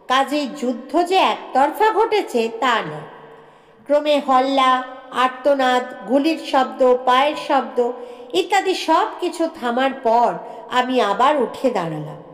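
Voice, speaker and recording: A woman narrating a short story in a measured, expressive, dramatic audiobook style. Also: high voice. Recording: echoey, long reverb.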